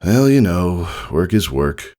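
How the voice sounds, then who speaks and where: Guy doing a doting pony dad, gently teasing, animated delivery, storybook fanfic reading. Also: low-pitched, slow pace, heavily acted. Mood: wistful. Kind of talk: fan fiction audiobook character voice.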